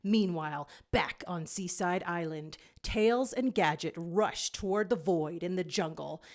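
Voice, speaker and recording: A woman's voice: fairly low pitch, a little slow. A woman reading scripted fiction as narrator, with dramatic storytelling delivery.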